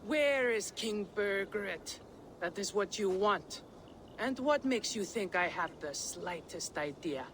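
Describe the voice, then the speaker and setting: Brazen sly mercenary woman, slow teasing drawl, game cutscene, bit of outdoor noise behind. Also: medium pitch, lively intonation, fairly bright tone. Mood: sly bargaining. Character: sly, brazen, mercenary female camp leader. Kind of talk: dramatic video game acting.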